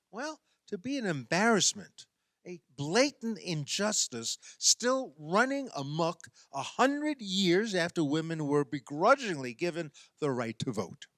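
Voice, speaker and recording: A man delivering a sermon as a minister, in measured, emphatic oratory with wry, dry humour. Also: high voice, fairly bright tone, dry recording.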